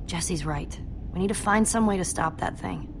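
A woman's voice: fairly low pitch, normal speed, gravelly, slight background noise. An actress playing a haughty, witty female engineer hero in a video game, with a cool, dry, condescending manner. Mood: serious. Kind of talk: animated video game acting.

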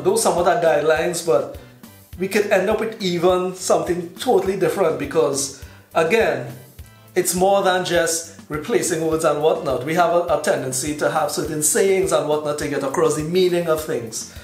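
A man's voice: a little high, moderate pace. A man in his thirties with a Trinidadian accent, casually explaining to the camera as a video teacher. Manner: relaxed, explanatory, gestures while talking. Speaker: YouTube host teaching. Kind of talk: casual talking-to-camera lesson. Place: indoors in front of a plain backdrop. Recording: echoey room with faint music.

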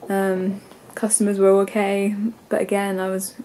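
A woman's voice: medium pitch, monotone, normal speed, dark tone, rough recording. A young English woman in her twenties chatting casually to a handheld camera in her bedroom, congested and rambling with lots of ums and likes. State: congested, stuffy nose. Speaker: vlogger. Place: bedroom at home. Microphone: camera held at arm's length.

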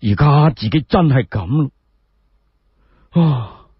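A man with a Cantonese accent telling a story solo, in an expressive, dramatic scripted narration. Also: medium pitch, very slow, breathy edge, dry recording. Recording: dry, dull lo-fi audio.

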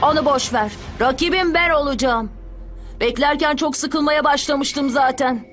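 A woman's voice: sits high, a little slow, a bit bright, soft background music. A woman voicing a cheeky, boastful, easily offended little boy in a dubbed anime, brash and childish. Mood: smug, bored.

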